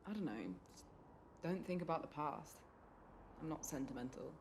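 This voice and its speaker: A woman's voice: deep voice, normal speed, background noise. A woman playing a guarded, unsentimental young woman in a naturalistic radio play, speaking in an offhand, colloquial way.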